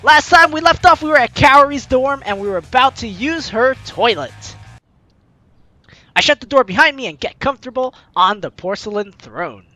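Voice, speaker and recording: A bright, forceful voice hosting a game playthrough, reading story text aloud with theatrical flair. The pitch swings around in a lively way and the commentary is playful. Soft game music plays behind. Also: normal speed, no room sound. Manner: animated, theatrical, playfully exclaiming. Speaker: gaming video host reading a visual novel aloud.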